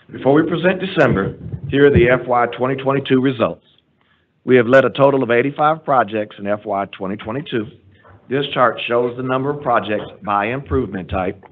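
Guy reading a formal report to a board, steady and measured, mid pitch, tinny phone-like sound. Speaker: transportation department director presenting a report. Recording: tinny phone-like audio from a meeting stream.

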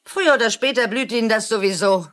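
A woman's voice: fairly high pitch, fast talker, a bit bright, lightly acted. An actress playing a strong, brash, mocking woman with slangy, taunting talk in a dubbed drama.

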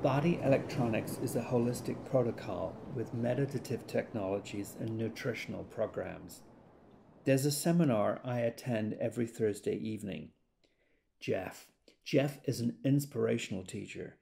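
An actor playing a gentle, earnest devotee of a self-help group in a hesitant audio drama monologue. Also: male voice, mid-range voice, moderate pace, vocal fry, a little hiss or hum, close to an everyday voice.

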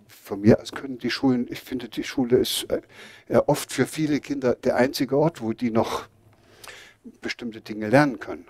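A man's voice: medium pitch, husky, no echo. An older man speaking bluntly and emphatically as he answers audience questions at an outdoor lecture. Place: outdoors in a garden before a seated audience.

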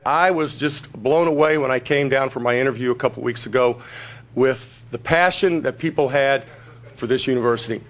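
Emphatic mid-pitched male coach. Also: moderate pace. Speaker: college basketball coach. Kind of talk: press conference remarks. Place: indoor press conference room. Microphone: podium microphone.

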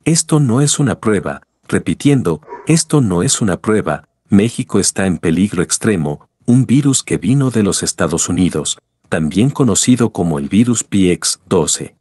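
A man with a low voice reads an official emergency message. He is formal and measured, in a very dry recording. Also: expressive, a little mellow, husky, no echo. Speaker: emergency alert announcer. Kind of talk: scripted government emergency message.

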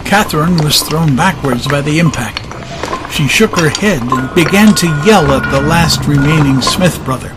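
A man's voice: on the high side, animated, moderate pace, low-quality recording. A man narrating a dramatized audiobook in a dramatic scripted style, with music underneath.

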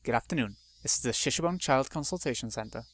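A man's voice: mid-range voice, expressive, fast, fairly bright tone, no echo. A man voicing a formal, self-assured social worker on the phone. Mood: firm. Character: polite, persistent social worker.

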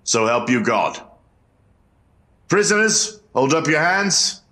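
Man playing a slow, solemn colonial court clerk. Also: a little high, very animated, bright, forceful tone, full character voice. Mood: ceremonious. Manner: ceremonious, measured, declamatory. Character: solemn, formal colonial Boston court clerk reading the charge. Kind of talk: historical courtroom reenactment, formal proclamation.

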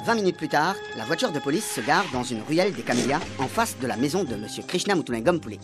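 A man's voice: mid-range voice, big pitch swings, quick pace. A man giving dramatic, scripted narration for a radio serial. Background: faint music.

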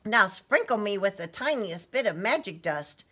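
A woman's voice: mid-range voice, big pitch swings, normal speed, fairly bright tone, slightly rough, voice pushed up. A woman voicing a jolly, kindly little girl heroine in a children's storybook, encouraging and exclaiming. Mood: grateful. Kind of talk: children's storybook read-aloud.

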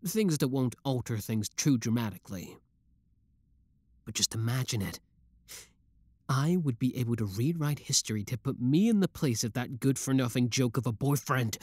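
A man's voice: mid-range voice, darkish tone, dry recording, lightly acted. A young man voicing an obsessive, lovesick young male villain in a theatrical, brooding audio roleplay. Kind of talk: roleplay audio drama monologue.

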